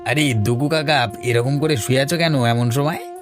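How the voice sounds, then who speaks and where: Cartoon village neighbour, man acting, younger, brisk and inquisitive, mid-pitched, faint music underneath. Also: natural voice. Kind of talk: Bengali village cartoon dubbing.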